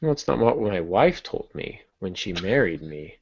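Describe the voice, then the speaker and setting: Low, gravelly male podcast host. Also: sing-song, normal speed, a little mellow. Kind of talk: casual podcast discussion of writing craft. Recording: muffled lo-fi podcast audio.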